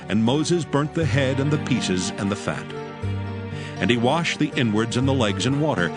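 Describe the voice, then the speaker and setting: A man narrating scripture from a script in a solemn manner, with measured phrasing. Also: lowish voice. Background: music.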